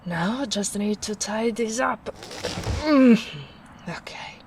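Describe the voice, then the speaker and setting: A young woman playing a gentle, protective female ogre in a soft-spoken ASMR roleplay, speaking slowly and soothingly with quiet breathy asides. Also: medium pitch, lively intonation, slight background noise.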